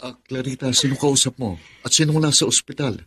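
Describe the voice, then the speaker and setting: A man's voice: medium pitch, fairly quick, a bit bright, lightly acted. An actor with a Filipino accent playing an earnest, consoling male friend in a radio drama. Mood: worried.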